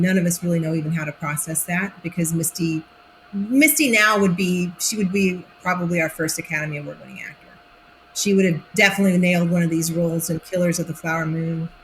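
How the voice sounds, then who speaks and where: An actress guest from Oklahoma, speaking in a casual interview with a faint Oklahoma accent and telling stories with lively acted-out quotes. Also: female voice, low-pitched, a little hiss or hum, rough recording. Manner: animated storytelling, acts out quotes.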